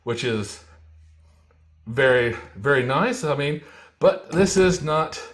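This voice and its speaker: A man hosts a casual unboxing review in a mid-pitched voice. He takes his time, speaking slowly in short phrases with gaps between them, and often repeats his words as he goes. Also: animated, a bit bright. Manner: unhurried, repetitive, pausing between short phrases. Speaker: toy reviewer, host.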